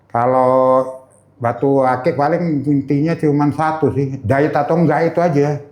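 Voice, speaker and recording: A man's voice: on the high side, fairly even intonation, moderate pace, darkish tone. A middle-aged man talking casually as an interview guest, informal and anecdotal, in a roomy space. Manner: informal, anecdotal, loosely phrased. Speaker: veteran gemstone trader, interview guest. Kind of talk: casual chat. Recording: roomy, echoey sound.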